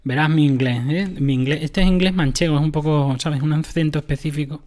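A man's voice: a little high, steady tone, a little fast, darkish tone. A man giving casual commentary on a game, explaining items to his viewers. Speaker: gaming streamer.

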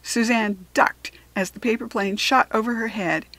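A woman with a standard American accent reading a children's chapter book aloud in an expressive, teacherly way. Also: fairly high pitch, normal speed, no room sound.

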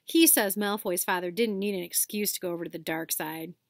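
Lady doing a grumpy schoolboy, mutters dark and sulky, near her own voice, dry. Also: on the low side, brisk, no room sound, natural voice. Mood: grumbling. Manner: dark, grumbling.

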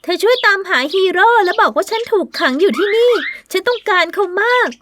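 A woman voicing a sweet, gentle, anxious young princess who pleads softly in a children's fairy tale cartoon. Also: very high-pitched, expressive, fairly quick, noisy background. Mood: pleading.

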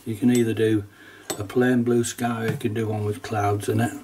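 A middle-aged man with a stuffy, nasal voice from a cold talks through a watercolour demonstration in a relaxed, chatty way. His voice sits fairly low and a little away from the microphone. Faint household noise hums behind him. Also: normal speed, far from the mic. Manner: relaxed, chatty, with small laughs and fillers. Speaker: watercolour teacher. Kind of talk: casual step-by-step painting demonstration. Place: painting desk at home, indoors.

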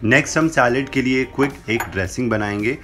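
A man's voice: medium pitch, fairly quick, a bit bright, faint music behind. A young man hosting a cooking channel, explaining a recipe step by step and slipping English phrases into his talk. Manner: instructive, mixes English phrases into Hindi. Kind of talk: recipe demonstration voice-over. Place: home kitchen with brick wall.

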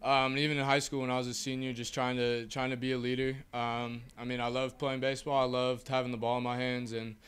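A young man, a college baseball player, giving relaxed postgame answers full of fillers into a handheld mic. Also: mid-range voice, quick pace, hardly any pauses, smooth. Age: young adult. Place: small indoor press backdrop. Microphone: handheld mic held by host.

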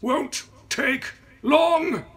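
Man as portly Victorian coachman, bewildered, deferential, high raised voice, gothic tale. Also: very slow, fairly bright tone, heavily acted. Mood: bewildered, calling out. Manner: raised, effortful calling out.

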